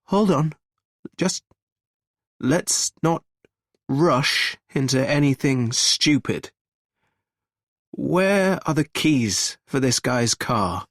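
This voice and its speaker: A man voicing a frantic grown man who shouts in a raised, higher voice. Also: measured pace, no room sound, clean studio sound. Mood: agitated. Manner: loud and agitated.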